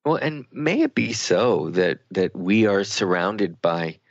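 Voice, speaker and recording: Low, gravelly male radio co-host. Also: big pitch swings, slow pace, no echo. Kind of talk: reflective conversational radio talk. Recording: slightly muffled, lo-fi radio audio.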